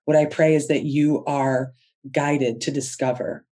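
A woman's voice: deep voice, fairly even intonation. A woman hosting her own podcast, talking in an earnest, heartfelt way and stretching out the words she stresses. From United States. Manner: earnest, soft-spoken and heartfelt, drawn-out emphasis. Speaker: podcast host. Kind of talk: inspirational podcast monologue.

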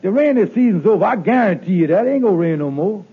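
An actor playing a wheedling, folksy schemer in old radio comedy, hemming and stalling in ungrammatical dialect. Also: male voice, sits high, lively intonation, fast talker, husky, heavily acted. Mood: pleading. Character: wheedling, fast-talking schemer landlord, hen-pecked husband. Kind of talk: 1940s-50s radio comedy acting. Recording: tinny noisy old radio broadcast, studio audience.

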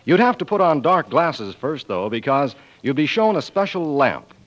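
A man's voice: on the high side, very animated, normal speed. A man with an American accent announcing from a script in a polished, emphatic 1940s radio style. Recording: muffled 1940s radio broadcast. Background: music.

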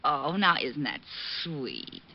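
An actress playing a detective's fond, fussing sweetheart in an old-time radio drama. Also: female voice, a bass voice, huge pitch swings, slow, gravelly, heavily acted. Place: radio studio. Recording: muffled, hissy vintage radio recording.

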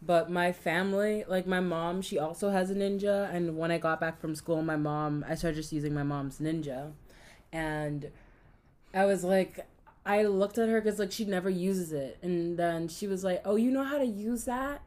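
A young woman chats on a podcast, telling animated stories and acting out what her family said. Her voice sits on the low side for a woman. She sounds relaxed and at ease. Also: moderate pace. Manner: animated storyteller who acts out family dialogue, laughs easily. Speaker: podcast co-host. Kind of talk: casual chat.

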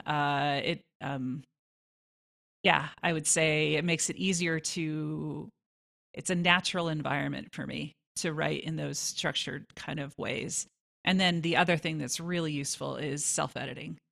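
Female interview guest, low creaky voice, informal and chatty delivery. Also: steady tone, unhurried, fairly bright tone. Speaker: guest songwriter. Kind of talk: casual interview answers.

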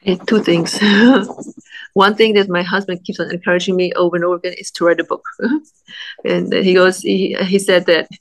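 A woman in her forties with a German accent, talking as an interview guest with halting, restarting speech and frequent laughs, her speech affected by illness. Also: fairly low pitch, little pitch movement, low-quality recording. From Austria. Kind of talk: casual interview conversation.

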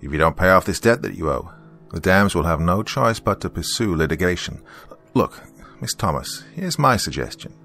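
A man's voice: deep voice, animated, normal speed, creaky, a bit bright, soft background music, exaggerated voice. A man voicing a pushy, condescending male debt collector in an audiobook, stern and badgering in a falsely helpful way. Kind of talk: audiobook character dialogue.

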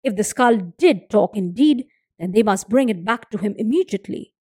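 A woman storyteller hosting a folktale show, narrating and commenting expressively with dramatic, deliberate phrasing. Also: mid-range voice, lively intonation, warm tone, husky, dry recording, clean studio sound. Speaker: storyteller and podcast host.

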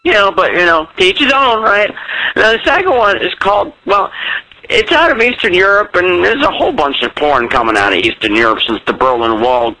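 A man's voice: high voice, lively intonation, fairly quick, sharp tone, no room sound, rough recording. A drunk man rambling in a campy, comic way as he leaves a casual voicemail over the phone. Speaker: listener phoning in a voicemail.

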